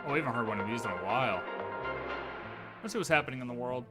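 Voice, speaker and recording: Male streamer, casual running commentary, relaxed chatty delivery, medium pitch, music underneath. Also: fast talker. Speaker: game streamer. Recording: game music behind the voice.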